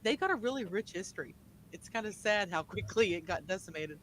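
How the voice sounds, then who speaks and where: A man with a noticeably high voice and a West Virginia accent, talking casually with friends on a video call. He rambles and restarts his sentences. His speech sounds natural and unscripted. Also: animated, a little fast, a bit bright, a little hiss or hum, poor audio. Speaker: panelist. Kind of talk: casual group chat.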